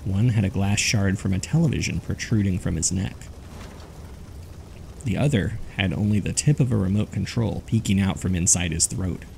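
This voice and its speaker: A man's voice: sits low, moderate pace, gravelly, darkish tone, slight background noise. A man reading scripted narration as the host of a horror fiction podcast. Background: background music.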